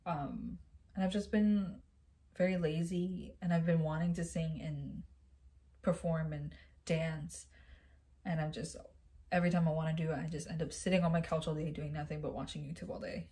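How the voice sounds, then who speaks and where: A woman's voice: low voice, steady tone. A young woman with a congested, stuffy nose, chatting casually and rambling to a phone camera in a small bedroom. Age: young adult. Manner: rambling, self-mocking, quick chatter. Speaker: singer vlogger. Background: song playing quietly.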